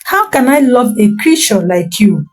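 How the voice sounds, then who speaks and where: A woman's voice: a little high, very animated, moderate pace, faint music behind. A woman voicing a horrified, heartbroken young prince who cries out in distress. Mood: anguished. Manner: shouting, distraught.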